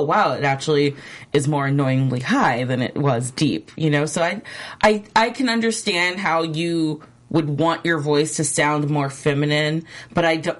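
A woman with a notably deep, low voice co-hosting a casual podcast. She gives her views in a relaxed and measured way and takes care not to overstate them. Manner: relaxed, measured, voicing opinions carefully. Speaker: podcast co-host. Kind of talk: casual chat.

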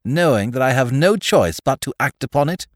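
A man's voice: medium pitch, animated, normal speed, dry recording, studio quality, pitched above the performer's own voice, lightly acted. A man voicing an earnest, protective police detective, courteous but emphatic and imploring, in an audiobook novel. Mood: grimly composed. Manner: emphatic, repetitive, formally courteous.

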